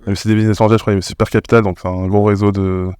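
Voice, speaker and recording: A man's voice: on the low side, level tone, rapid-fire, darkish tone, clear, resonant tone, dry recording, studio quality. A man hosting a casual interview, chatty and teasing as he asks questions. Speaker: podcast host.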